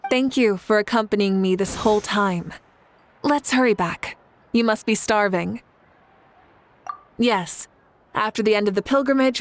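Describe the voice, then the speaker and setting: Game cast: woman voicing a confident, reassuring leader with an even, mid-range voice. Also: mid-range voice, a little hiss or hum, natural voice. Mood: warm. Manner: calm, reassuring. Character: confident, caring female leader.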